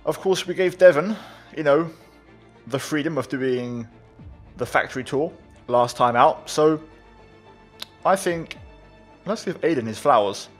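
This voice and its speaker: A man's voice: a little high, expressive, a little slow, husky, faint music behind. A man hosting a gaming video, giving casual, chatty commentary and thinking aloud as he plays.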